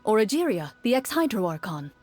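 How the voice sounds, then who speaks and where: A woman voicing a composed, serious young swordswoman in a video game, telling things in a measured, matter-of-fact way. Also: mid-range voice, sing-song, natural voice. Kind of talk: video game voice acting, expository narration. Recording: music under the voice.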